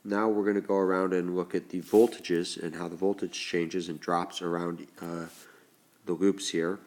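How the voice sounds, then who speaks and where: A male teacher with a stuffed-up, congested voice, slowly explaining a lesson step by step as he writes. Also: deep voice, flat delivery, darkish tone. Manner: slow, deliberate explaining while writing. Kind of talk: recorded classroom lesson.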